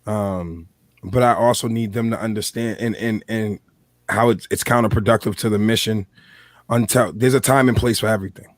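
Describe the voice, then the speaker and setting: A man with an American accent speaks in a low, slightly hoarse voice. He hosts a casual podcast, feeling his way through his thoughts. The sound is dry, with very little room in it. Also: steady tone, moderate pace, dry recording. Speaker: podcast host.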